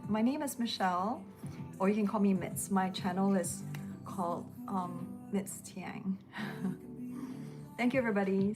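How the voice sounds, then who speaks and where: A woman chatting casually on a livestream from home as a home cook, rambling in a relaxed way while she packs and snacks. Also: mid-range voice. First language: English. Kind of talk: casual live-stream chat. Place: home, indoors. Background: lo-fi background music.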